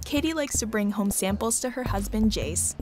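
A woman reporter narrating a scripted news feature in a measured, clear voice. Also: mid-range voice, lively intonation. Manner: measured, clear voice-over delivery. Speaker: reporter and host. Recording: voice-over with background music.

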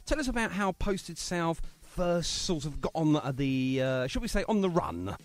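A man's voice: fairly high pitch, big pitch swings, moderate pace. A man hosting a radio show, chatty and jokey, making puns as he interviews a guest. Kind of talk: casual radio chat and interview.

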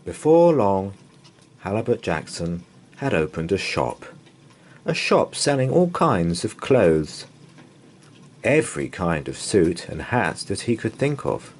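A man reading a children's bedtime story aloud in an expressive, dramatic way with drawn-out pauses. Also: low-pitched, husky. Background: open fire ambience.

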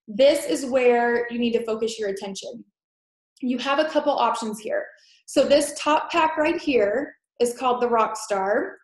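A young woman with a stuffy head-cold nose, presenting a fitness webinar over a laptop mic in a chatty, filler-heavy, reassuring way. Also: on the high side. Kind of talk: casual sales presentation. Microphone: laptop mic on a video call. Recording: echoey room on a video call.

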